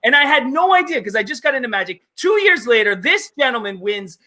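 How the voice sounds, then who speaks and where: A man with a high, bright, forceful voice talks casually as an interview guest. He is an excitable enthusiast who rambles along and leans hard on his words. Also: a little fast. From United States, child of Italian immigrants. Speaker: interview guest, maker magician.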